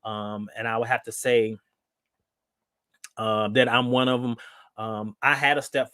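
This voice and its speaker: A man's voice: medium pitch, dry recording, clean studio sound. A man hosting a live online discussion show, talking casually with many fillers, a little nasal from a cold. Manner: relaxed, with frequent fillers and restarts. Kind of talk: casual live-stream talk.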